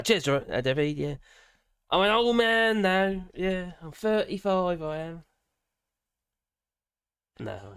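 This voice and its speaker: An older man rambles through a casual live stream, full of fillers and restarts. His voice is hoarse from a cold and sits slightly high for a man. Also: a bit bright. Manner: rambling, chatty, with frequent fillers and self-corrections.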